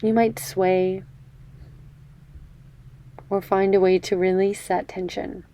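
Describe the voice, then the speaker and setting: A woman leading a guided meditation in a gentle, measured, soothing way, leaving pauses between phrases. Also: medium pitch, little pitch movement. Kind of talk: guided meditation, body scan.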